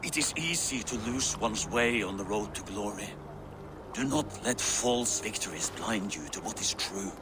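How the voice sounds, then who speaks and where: A man's voice: fairly low pitch, animated, normal speed, natural voice. A man playing a stern, commanding old Viking father and king in a game cutscene, speaking formally and weightily. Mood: stern, admonishing. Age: older. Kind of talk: dramatic game cutscene acting. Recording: clear background noise, waterside ambience.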